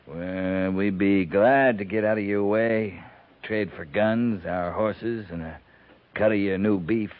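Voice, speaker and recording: An actor playing a steady, wary American cavalry captain, terse and controlled, in a 1950s radio drama. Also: male voice, on the low side, takes it slowly. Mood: wary, measured. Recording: muffled, thin 1950s radio recording.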